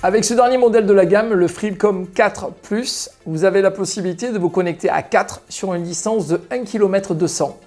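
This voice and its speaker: A man's voice: sits high, brisk, few pauses, a little mellow, soft background music. A man giving an explanatory, semi-scripted product presentation straight to viewers as a shop presenter. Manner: explanatory, addressing viewers directly.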